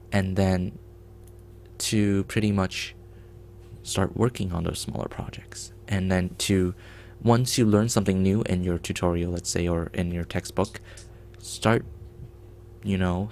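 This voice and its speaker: A man's voice: low-pitched, creaky, darkish tone, a little hiss or hum. A man hosting a programming livestream, explaining in a rambling casual way with many ums and restarts.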